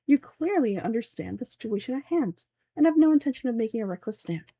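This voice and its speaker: A woman's voice: a little high, lively intonation, quick pace, dark tone, breathy edge, dry recording. A woman voicing a pompous, fanatical older male sage from a game, speaking formally and in declamatory fashion. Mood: coldly courteous. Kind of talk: game dialogue dub.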